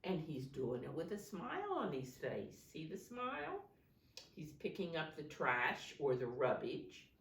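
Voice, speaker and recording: Lady teacher reading a kids book, low voice, takes her time, explains stuff simply, sounds far from the mic. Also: very animated. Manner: deliberate, instructive, speaking to young children. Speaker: teacher reading a storybook to preschoolers. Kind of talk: children's read-aloud with simple explanations and questions. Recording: roomy echo, distant microphone.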